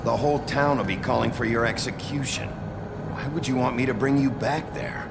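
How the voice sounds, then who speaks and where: A man's voice: mid-range voice, steady tone, moderate pace, creaky, over loud music, lightly acted. A man playing a blunt, tough sheriff. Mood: hard. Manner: blunt, terse, suspicious. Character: gruff tough male sheriff, blunt and suspicious. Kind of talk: video game cutscene acting.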